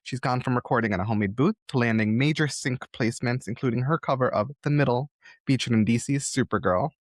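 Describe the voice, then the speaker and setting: A man's voice: mid-range voice, quick pace, no echo, studio quality. A man hosting a podcast interview in a conversational, admiring and reflective way.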